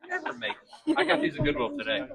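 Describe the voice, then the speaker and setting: Bright, gravelly high-voiced man. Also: wildly swooping pitch, quick pace, a crowd in the background. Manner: chatty, stammering restarts. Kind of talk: casual chat and running a vote.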